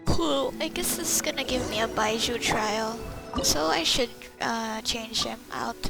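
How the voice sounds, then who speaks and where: High, creaky woman gamer commentating. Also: a little slow. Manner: playful, slangy, muttering to self. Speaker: gamer, streamer. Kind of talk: casual gameplay commentary. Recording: game music behind voice. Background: video game music.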